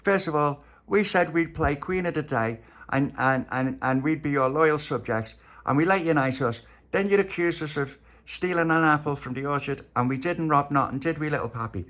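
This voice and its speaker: A man's voice: a little high, moderate pace, few pauses, a bit bright, pitched above the performer's own voice, lightly acted. A man voicing a cheeky young boy who protests his innocence, stammering. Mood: protesting.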